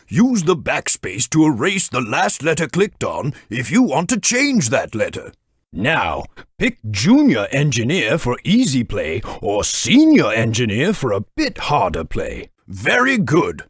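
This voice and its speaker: A man's voice: on the high side, fairly bright tone, husky, no echo, studio quality, heavily acted. A man voicing a stout, kindly railway director who guides the player through a game with formal, patient, encouraging instructions. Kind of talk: game voice clips.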